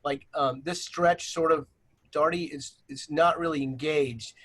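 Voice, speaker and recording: A man's voice: fairly high pitch, steady tone, unhurried, a bit bright. Man presenting slides with many ums. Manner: hesitant, many fillers and restarts. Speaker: planning consultant leading a workshop. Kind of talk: presenting slides in a virtual meeting. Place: video call.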